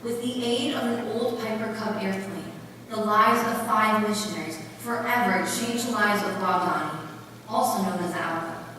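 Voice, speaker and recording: Woman as earnest missionary storyteller, bright declamatory voice, somewhat high, recorded from far off in a hall. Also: normal speed, close to an everyday voice. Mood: solemn. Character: narrator and missionary pilot's devoted sister, earnest. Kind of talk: readers' theater recital, scripted narration. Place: large indoor recital hall, distant microphone. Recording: echoey hall from far off, faint noise.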